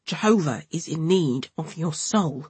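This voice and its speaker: Lady putting on a nasal cockney preacher guy, slow and preachy, talks down to people. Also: deep voice, animated, darkish tone, breathy edge, no echo, full character voice. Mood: sanctimonious. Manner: slow, painfully enunciated, sanctimonious. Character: pompous young male preacher, slow and nasal.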